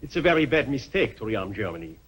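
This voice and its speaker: A man's voice: mid-range voice, very animated, quick pace, lightly acted. A man playing a stern European giving grave, insistent political warnings in a propaganda film.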